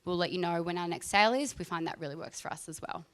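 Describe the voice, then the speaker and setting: A woman's voice: fairly low pitch, fast. A woman speaking as a panelist in a chatty, informal way. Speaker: panelist, eCommerce brand marketer. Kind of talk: casual panel discussion. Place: live event in front of an audience.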